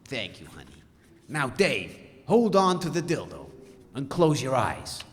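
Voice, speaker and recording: A man playing an insistent, demon-obsessed ghost hunter in an audio comedy, earnest and showmanlike, with a gravelly voice. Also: medium pitch, huge pitch swings, a little slow. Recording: echoey hall reverb.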